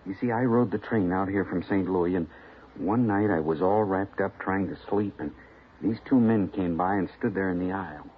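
A rambling, jittery whiskey drummer, played by a man in an old radio western, low-voiced and oddly flat about dire news. The sound is tinny and thin like an old broadcast, with a faint hiss underneath. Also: normal speed, close to an everyday voice. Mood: uneasy. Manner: rambling, nervous, oddly matter-of-fact. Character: odd, fussy traveling whiskey salesman, middle-aged man. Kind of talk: 1950s radio drama acting.

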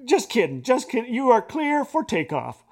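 A man's voice: extremely high pitch, huge pitch swings, normal speed, a little mellow, voice pushed up, exaggerated voice. A man playing a breezy, joking air traffic controller who teases pilots in playful radio calls.

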